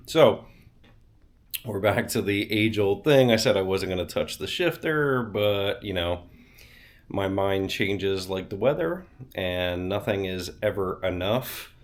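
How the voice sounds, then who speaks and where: A deep-voiced man talks through a car project in a chilly home garage. He is getting over a cold, so he sounds a bit nasal and sniffles now and then. His delivery is loose and chatty, full of fillers and blunt remarks. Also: animated, slow, a bit bright. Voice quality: slightly nasal. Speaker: car channel host. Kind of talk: casual garage vlog.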